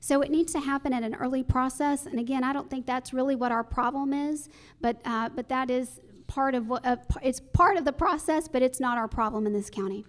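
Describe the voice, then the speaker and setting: A woman's voice: high voice, fairly quick. A woman judge running for election, answering at a forum emphatically and repeating her key phrases. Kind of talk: candidate forum answers, campaign speech.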